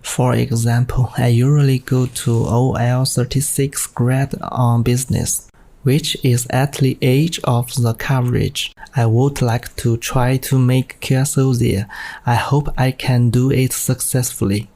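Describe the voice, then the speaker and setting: A man with a Chinese accent narrates a prepared amateur radio presentation. His delivery is stilted and very even in pitch, at an unhurried pace. The tone is mellow and dark. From China.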